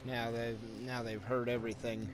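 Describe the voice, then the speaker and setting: A man's voice: mid-range voice, flat delivery, moderate pace. Casual man narrating a game walkthrough. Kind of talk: casual gameplay commentary. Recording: loud game music behind. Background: game music and sound effects.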